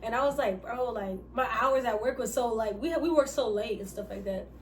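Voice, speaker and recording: A woman with a light, slightly high voice rambles casually and loses her place mid-story. She sits back from the mic, and a faint hiss runs underneath. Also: fairly quick, recorded from a distance. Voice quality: light, somewhat feminine voice. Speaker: vlogger answering couple questions. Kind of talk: casual mukbang chat.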